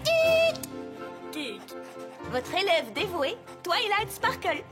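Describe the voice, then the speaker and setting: A woman's voice: very high voice, wildly swooping pitch, takes it slowly, exaggerated voice. A woman voicing an earnest, studious young female unicorn pony in a cartoon dub, speaking in an articulate, slightly formal way. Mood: composed. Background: cartoon music.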